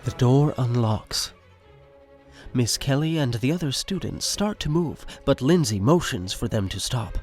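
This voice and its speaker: A man narrating a scripted audio drama in short, measured sentences. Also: medium pitch, animated, a little mellow. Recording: music behind narration.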